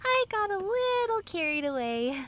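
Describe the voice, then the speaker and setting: Woman playing a high-voiced bubbly college girl. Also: sing-song, fairly bright tone, heavily acted. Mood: cheerful. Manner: playful, teasing, drawn-out delivery. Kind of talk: audio drama acting.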